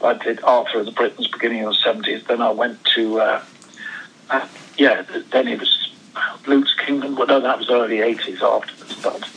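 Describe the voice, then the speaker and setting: A man's voice: medium pitch, little pitch movement, normal speed, creaky, a bit bright, slight background noise, rough recording. A man in his seventies, born in Switzerland, telling his life story as an interview guest, rambling with many restarts. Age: 70s. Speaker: guest actor. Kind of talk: casual interview chat.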